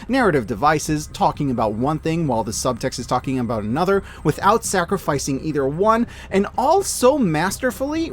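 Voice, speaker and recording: A man in his thirties giving scripted, enthusiastic video-essay commentary as a host, in an emphatic, gushing, informal way. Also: a little high. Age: 30s. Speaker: host of a year-in-review media video. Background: music.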